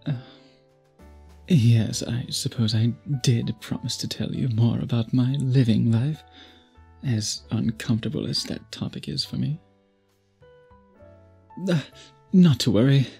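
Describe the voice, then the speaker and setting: An ancient vampire suitor acted by a man speaks in a very breathy, husky voice, slowly and deliberately. His phrasing is courtly and old-fashioned, brooding and possessive, in the close style of ASMR roleplay. Music plays behind him. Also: mid-range voice, dark tone, full character voice. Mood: reticent, polite. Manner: formal, archaic, deliberate phrasing. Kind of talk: intimate ASMR roleplay audio drama.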